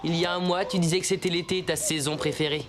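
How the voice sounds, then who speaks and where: A man playing a calm, wry companion in a French animated series. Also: a little high, quick pace, natural voice. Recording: clear background ambience noise.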